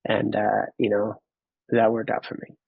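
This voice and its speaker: A man, telling his personal story in an interview, candid and halting, often repeating words. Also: on the low side, completely flat delivery, gravelly, husky, dry recording, phone-quality sound. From Finland. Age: 30s. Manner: candid, casually profane. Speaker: podcast guest.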